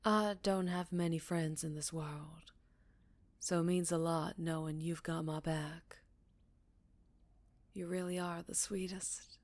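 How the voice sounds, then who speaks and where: A woman playing a centuries-old vampire leader, speaking softly and breathily in an intimate ASMR roleplay. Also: on the low side, fairly even intonation, no room sound. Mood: tender, confiding. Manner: soft, breathy, measured, with whispered phrases. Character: centuries-old female vampire town leader, secretive, wistful, tender.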